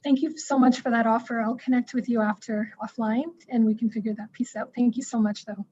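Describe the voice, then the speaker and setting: A woman with a rather high but flat voice explains policy in a measured, careful way, often pausing on "um". Her tone is mellow and a little husky. The sound is dull, lo-fi video-call audio. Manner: measured, explanatory, with frequent "um" fillers. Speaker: school board staff presenting a human rights policy. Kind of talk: explaining policy in an online meeting.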